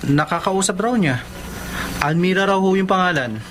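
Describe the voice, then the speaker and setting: A man's voice: high-pitched, lively intonation, normal speed, background noise. A man acting a puzzled, polite young friend in a Filipino radio horror drama, with a hesitant manner.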